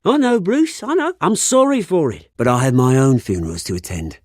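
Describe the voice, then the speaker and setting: An actor playing a cocky, bitter childhood friend in a video game, with a British accent, slangy and theatrical. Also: male voice, mid-range voice, lively intonation, a little fast, no echo, clean studio sound, close to an everyday voice. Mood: bitter, wounded. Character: cocky, bitter young crime-bound childhood friend, rough charm.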